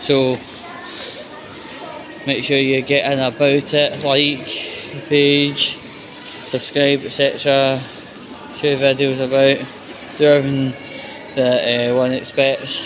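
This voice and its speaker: A man's voice: medium pitch, monotone, slow, pauses often. A man with a Scottish accent giving a blunt, sweary, casual vlog monologue while out walking. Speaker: video blogger, host. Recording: noisy outdoor walking recording, constant background noise.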